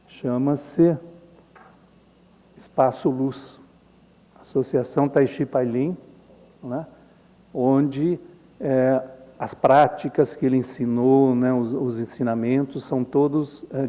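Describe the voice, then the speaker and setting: A middle-aged Brazilian man lectures at an unhurried, drawn-out pace, his voice mellow and dark. He talks casually to the audience, tossing in tag questions and small chuckles. The sound carries the long reverb of a lecture hall. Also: mid-range voice, steady tone. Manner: conversational, frequent tag questions, chuckles often. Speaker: Jungian analyst lecturing at a symposium. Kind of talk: informal symposium lecture with slides.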